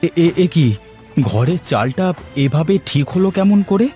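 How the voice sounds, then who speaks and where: A man's voice: fairly high pitch, animated, normal speed, dark tone, natural voice. A man playing a poor, worried village husband in a cartoon folk tale, speaking in a plain, emphatic way. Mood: astonished. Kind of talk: cartoon dialogue voice acting. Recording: music behind the voice.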